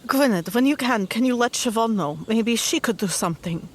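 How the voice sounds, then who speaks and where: A woman's voice: a little high, expressive, normal speed, a little hiss or hum, lightly acted. A woman playing an earnest, guilt-ridden young female adventurer in a tabletop roleplaying game. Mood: subdued. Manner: earnest, emotional.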